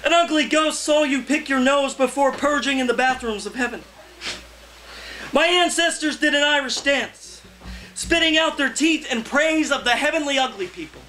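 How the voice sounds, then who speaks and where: A young man, a poet, reading his verse aloud live in a loud, theatrical, impassioned delivery. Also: very high-pitched, normal speed, a little hiss or hum, rough recording. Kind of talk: live poetry reading, declaimed. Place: indoor cafe reading before a small audience.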